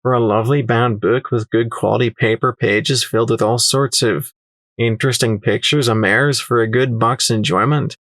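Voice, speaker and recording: A man's voice: medium pitch, steady tone, moderate pace, fairly bright tone, no echo, close to an everyday voice. A man voicing a shrewd, condescending pony merchant in an audiobook story, with a sly, flighty, sneering delivery. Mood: haggling, smug. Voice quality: flighty, airy. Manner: sneering, condescending, calling others laddie.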